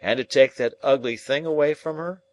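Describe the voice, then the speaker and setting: A man voicing a dying man raving in terror at a ghost, shrill, frantic and pleading. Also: brisk, lightly acted. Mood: weak, clinging.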